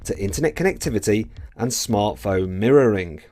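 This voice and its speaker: A man's voice: on the low side, animated, normal speed, warm tone. Man narrating a car video. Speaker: video presenter. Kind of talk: scripted voice-over narration.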